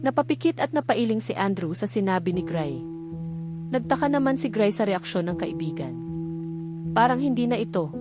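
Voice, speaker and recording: A woman's voice: mid-range voice, lively intonation, normal speed. A woman reading scripted story narration as an audiobook narrator. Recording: music playing behind the voice. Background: background music.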